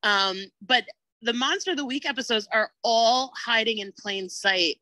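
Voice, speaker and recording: A woman's voice: fairly high pitch, normal speed, pushed and bright, no room sound, poor audio. A woman in a casual podcast discussion, explaining her opinions in loose, conversational phrases full of filler "like". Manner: conversational, peppers speech with "like".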